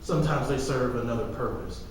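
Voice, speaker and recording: A man's voice: mid-range voice, a little fast. A man co-hosting a podcast in casual fan discussion, opinionated, slangy and freely swearing. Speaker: podcast co-host. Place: reverberant room, far from the mic. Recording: very echoey room, distant mic, background noise.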